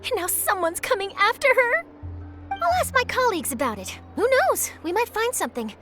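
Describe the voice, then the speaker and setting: A woman's voice: very high voice, big pitch swings, normal speed, bright, forceful tone, soft background music, heavily acted. A woman voicing an eager, chatty young female journalist in a video game, breezy and persuasive. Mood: animated, confiding. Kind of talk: video game character dialogue.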